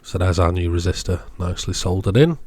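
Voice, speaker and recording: A man presenting a hands-on electronics repair demonstration, measured and matter-of-fact, explaining things in short phrases. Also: deep voice, animated, darkish tone, no room sound.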